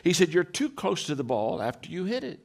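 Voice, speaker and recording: A deep-voiced man gives a motivational talk full of personal stories. His pitch swings widely as he acts out quotes, and he often restarts his sentences. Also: big pitch swings, brisk, vocal fry, noisy background. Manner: storytelling with repeated restarts and acted quotes. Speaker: leadership speaker.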